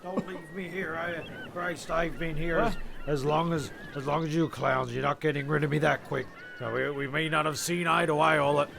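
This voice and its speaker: A man playing an excitable narrator and crewman in an amateur audio comedy, with a gravelly voice. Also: a little high, moderate pace, a crowd in the background. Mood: gruff, exasperated. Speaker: narrator and character.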